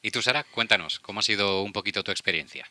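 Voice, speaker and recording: A man's voice: fairly low pitch, fast talker, bright, forceful tone. A man with a Spain Spanish accent hosting a conversational true-crime radio discussion. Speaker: radio show host. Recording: music under the voice. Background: background music.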